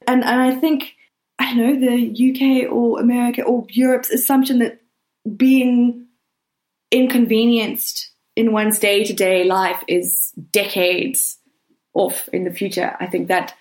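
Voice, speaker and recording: A woman's voice: medium pitch, darkish tone. A woman with a South African accent talking earnestly and emphatically in a conversational interview, often padding her points with hedging phrases. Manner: earnest, emphatic, hedging with frequent "you know" and "kind of". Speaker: interview guest, writer. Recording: roomy, echoey sound.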